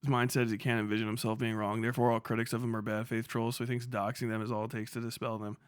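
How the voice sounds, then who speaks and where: A man's voice: medium pitch, level tone, quick pace, smooth, dry recording. A young man streaming live, commenting casually and laughing a lot while he mocks an online figure with plenty of swearing. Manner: profane, laughing often, mocking.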